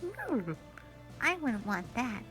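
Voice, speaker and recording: Woman as an unhurried, earnest little witch sister. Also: a little high, big pitch swings, over music. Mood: reassuring. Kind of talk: dramatic audio roleplay.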